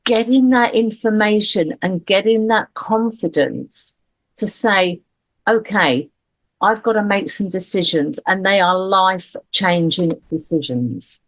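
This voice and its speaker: A woman hosting a podcast interview, speaking in a measured, empathetic way. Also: medium pitch, dry recording, poor audio. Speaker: podcast host. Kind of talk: conversational interview.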